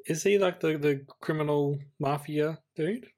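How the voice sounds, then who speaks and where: A man's voice: on the high side, measured pace, darkish tone. A man co-hosting a casual film review chat in a thoughtful, slightly tentative way. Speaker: podcast co-host.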